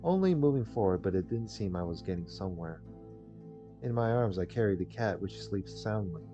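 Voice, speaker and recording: Low-voiced man over loud music. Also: animated, fairly quick. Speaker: gaming video host. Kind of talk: let's play, reading visual novel text aloud with casual commentary. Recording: dull lo-fi mic under loud music. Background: game music.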